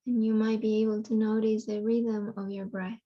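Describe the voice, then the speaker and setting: A woman guiding a yoga nidra meditation in a soothing way, leaving long pauses between phrases. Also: mid-range voice, flat delivery, muffled recording. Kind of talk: guided meditation.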